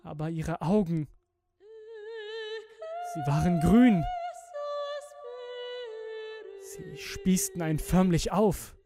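A young man acting a guilt-ridden, haunted twenty-year-old who gives a halting, emotional confession in a horror audio drama. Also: high voice, sing-song, heavily acted. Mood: anguished. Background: music with violin.

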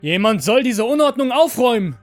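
A man voicing an angry, commanding master of a factory in an audio story, bossy and shouting. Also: extremely high pitch, sing-song, brisk, no echo, faint music behind, pitched above the performer's own voice. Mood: alarmed, commanding.

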